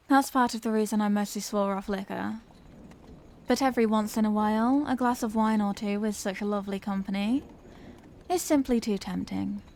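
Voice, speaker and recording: Woman playing a high-voiced genteel Victorian vampire lady. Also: darkish tone. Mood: genteel, chatty. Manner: formal, polite, old-fashioned genteel phrasing. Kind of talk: ASMR audio roleplay, scripted character acting.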